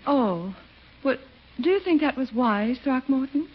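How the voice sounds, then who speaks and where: A muffled, noisy old radio recording of a poised lady friend. Her fairly high voice moves with lively intonation, genteel, sensible and gently teasing in 1940s comedy style. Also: a little slow. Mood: amused, advising.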